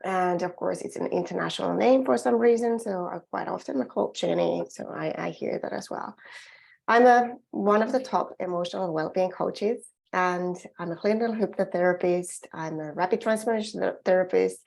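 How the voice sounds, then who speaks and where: A middle-aged Finnish woman speaks English with her native accent, presenting a coaching webinar. Her voice has a gravelly, creaky edge at a middle pitch. She sounds earnest and encouraging and often restarts her sentences. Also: a little fast, darkish tone. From Finland. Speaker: emotional well-being coach.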